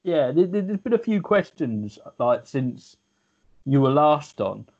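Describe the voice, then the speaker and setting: Man, podcast co-host, giggly, stammering, a bit high-pitched, muffled lo-fi sound. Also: very animated, a little slow, darkish tone. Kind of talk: casual comedy podcast chat. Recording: dull lo-fi sound.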